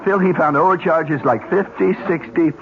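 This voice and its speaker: A man's voice: a little high, moderate pace, gravelly, crowd noise. A man playing a cynical, scornful American corporate manager in a radio drama, talking in a slangy, sardonic way. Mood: scornful, bitter. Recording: muffled old radio, faint noise.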